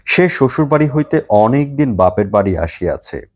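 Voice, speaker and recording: A man narrating a literary story as an audiobook, in a measured, deliberate manner. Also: mid-range voice, very animated.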